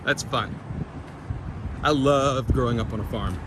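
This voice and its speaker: A man's voice: mid-range voice, unhurried. A middle-aged man from Kentucky with a slight Southern twang and drawl, chatting with viewers as he walks through a city. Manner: friendly, reads out viewer comments. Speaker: walking vlog host. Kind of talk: live-stream chat while walking. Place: outdoors on a city street. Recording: street noise behind the voice. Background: traffic noise.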